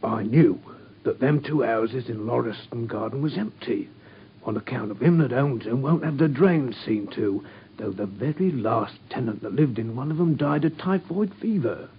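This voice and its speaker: A man playing a gruff constable. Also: medium pitch, lively intonation, moderate pace, close to an everyday voice. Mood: rambling. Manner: hesitant, rambling, full of uhs. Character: gruff working-class London police constable, middle-aged. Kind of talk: radio drama. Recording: dull lo-fi sound with faint hiss.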